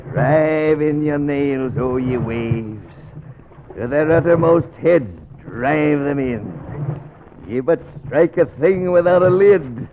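An actor playing an obsessed, commanding old whaling captain in a gravelly voice, with theatrical, archaic oratory in a classic radio drama style. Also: male voice, a little high, takes it slowly, dull audio, noisy background. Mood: defiant, obsessed. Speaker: ship's captain.

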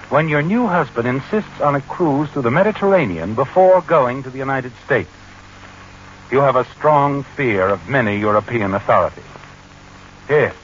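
A man's voice: medium pitch, fairly bright tone. A man narrating in the second person with an insinuating, accusing tone in an old radio drama. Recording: muffled old radio recording, faint hiss.

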